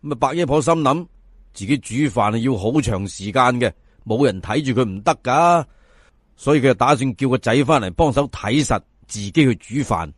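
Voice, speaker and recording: Animated Cantonese male storyteller. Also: medium pitch, dry recording, studio quality. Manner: theatrical, addresses listeners, drawn-out emphasis. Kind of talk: colloquial folk-tale narration.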